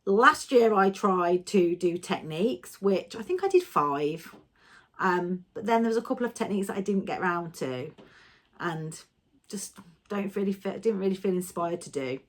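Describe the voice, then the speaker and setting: A woman's voice: medium pitch, animated, moderate pace. A woman talking casually about her sewing plans, a little nasal and stuffy from a cold, chatty and given to rambling. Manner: chatty, rambling, self-correcting. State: has a cold, slightly nasal and coughing. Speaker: sewing vlogger. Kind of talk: casual vlog chat.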